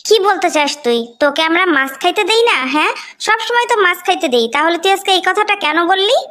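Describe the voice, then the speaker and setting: Cartoon household scold, played by a woman: Bangladeshi accent, high female voice, impatient and nagging. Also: expressive, quick pace, fairly bright tone. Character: impatient, resentful sister-in-law running the household kitchen. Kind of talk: animated moral story dialogue.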